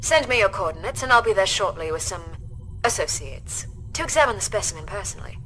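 Actress as a precise, formal female alien dealer, bright and crisp. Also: medium pitch, animated, quick pace, soft background music, natural voice. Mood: businesslike. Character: poised female alien broker for a collector. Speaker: game character.

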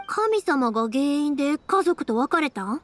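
An actress playing a cheerful, kind young fireworks maker in an anime game, speaking with a Kansai accent in a friendly, chatty, expressive way. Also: female voice, sits high, a little slow. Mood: gentle, caring. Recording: studio voice over game music.

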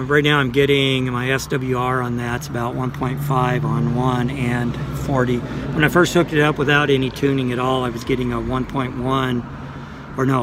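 A man's voice: mid-range voice, noisy background. A middle-aged man casually walking through a car radio install, talking plainly with fillers, filmed on a phone held close in and beside a small car. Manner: plain, unhurried, talks through each step with fillers. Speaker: vlogger showing a car install. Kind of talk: casual how-to walkthrough. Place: in a small car and outdoors beside it, tree-lined lot. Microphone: phone camera held close.

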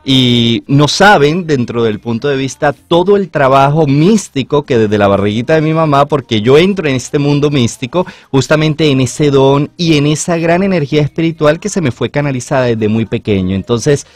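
A man from Colombia speaking earnestly in flowery, drawn-out phrases as a motivational guest in a radio interview. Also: a little high, lively intonation, dry recording. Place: small radio studio. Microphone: studio microphone on boom arm, headphones.

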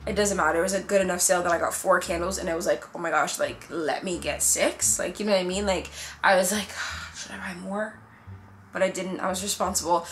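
Casting: woman in her 20s, chatty vlogger, medium-pitched voice, casual slangy talk to camera at home. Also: bright, forceful tone, a little hiss or hum. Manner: chatty, slangy, uses rhetorical asides. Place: indoors in a furnished home room.

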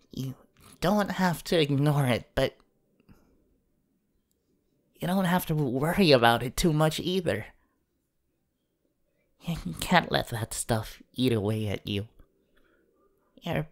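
A woman voicing a gentle, awkward, encouraging young male superhero in an ASMR comfort role-play, speaking haltingly and at times in a whisper. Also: extremely low pitch, heavily acted. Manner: hesitant, halting, partly whispered.

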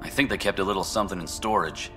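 A man's voice: low-pitched, fast talker, over music, lightly acted. A man playing a world-weary outpost scientist in a video game, blunt, informal and wry. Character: gruff, world-weary male outpost scientist giving practical advice. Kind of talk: scripted video game dialogue.

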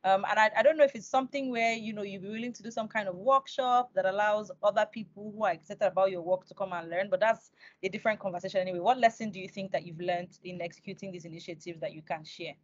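A woman's voice: medium pitch, steady tone, quick pace, no echo, highs cut off. A young adult woman, hosting a conversational interview on a video call. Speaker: interview host. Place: small indoor room, on a video call.

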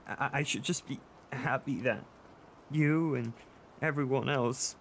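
A man playing an insecure, tearful boyfriend who seeks comfort in an intimate audio roleplay, speaking in a halting, stammering way. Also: medium pitch, fairly even intonation, slight background noise, natural voice. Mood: upset, frustrated. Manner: halting, stammering, with nervous soft laughs. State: emotional, tearful.

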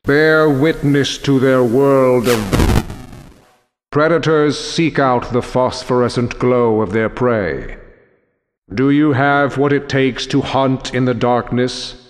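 An actor playing an ominous, mockingly dramatic aquarium exhibit announcer in a video game, with theatrical mystery. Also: male voice, medium pitch, fairly even intonation, slow pace, close to an everyday voice. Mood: ominous, wry. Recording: long cavernous hall reverb.

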